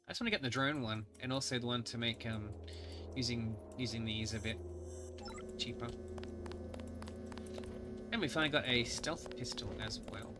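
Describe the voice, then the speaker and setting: A man's voice: medium pitch, moderate pace, long pauses, noisy background. A man with a cold and a slightly nasal voice, rambling through casual gameplay commentary with many fillers. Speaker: gaming host. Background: game music.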